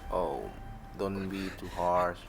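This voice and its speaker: A man's voice: sits low, steady tone, takes it slowly. A man giving casual commentary while he plays a video game. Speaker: gamer. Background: game music.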